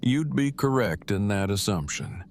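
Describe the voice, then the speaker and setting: Low-voiced deadpan wordy oddball man, acted. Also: a little slow, a little hiss or hum. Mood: formal, matter-of-fact. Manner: pompous wordy formal phrasing, deadpan. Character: verbose, formal, deadpan oddball expectant father. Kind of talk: audio drama dialogue.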